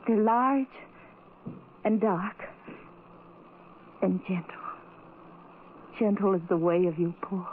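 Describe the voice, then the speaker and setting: A woman's voice: mid-range voice, slow pace, pauses often, close to an everyday voice. Actress doing a lovestruck young woman in an old radio play, earnest n romantic, tinny noisy recording. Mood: dreamy, adoring. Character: tender, adoring blind young woman in love. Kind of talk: old-time radio drama acting.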